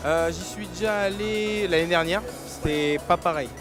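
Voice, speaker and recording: Man, high-pitched, gushing casual event presenter, music in the background. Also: normal speed. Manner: enthusiastic, repeats himself for emphasis. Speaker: streamer and stand presenter. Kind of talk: casual interview chat addressed to viewers. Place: convention stand. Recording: loud music behind the voice.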